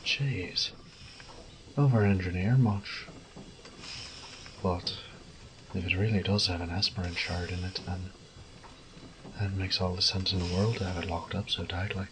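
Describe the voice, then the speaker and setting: Guy acting as a cocky sweary thief muttering to himself, deep slow voice, audio drama thing. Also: fairly even intonation, background noise. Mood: musing, wry. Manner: muttering, casual, sweary self-talk. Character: cocky male thief, wry and foul-mouthed, talking to himself. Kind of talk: solo audio roleplay acting.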